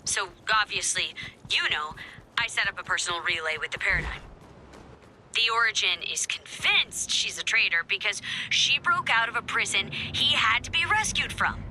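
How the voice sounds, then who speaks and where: A woman's voice: mid-range voice, lively intonation, moderate pace, pushed and bright, a little hiss or hum, rough recording. A woman voicing a spirited, earnest and hopeful resistance leader in a video game, heartfelt and informal. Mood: confiding. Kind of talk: scripted game character dialogue.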